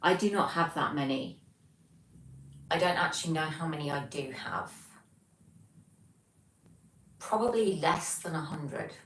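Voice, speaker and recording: A young British woman hosting a livestream from her flat, reading out chat messages and rambling casually with lots of ums. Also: deep voice, a little slow, long pauses. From Britain. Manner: chatty, rambling, many ums. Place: home, flat. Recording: echoey room, distant mic, faint noise.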